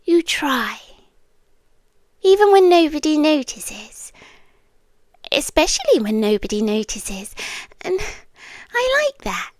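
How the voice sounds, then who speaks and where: A British woman playing a possessive demon girlfriend in an ASMR roleplay, halting and breathy, eerily tender and menacing, close on the mic. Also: high voice, big pitch swings, no echo, full character voice. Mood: tender, obsessive. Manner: breathy whispering, halting, drawn-out delivery. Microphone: close, dry ASMR microphone.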